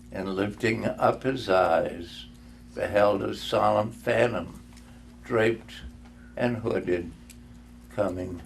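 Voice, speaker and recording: Slow, gravelly older man reading a story. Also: on the low side, slight background noise, low-quality recording. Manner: measured, halting, with stumbles and repeats. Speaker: reader.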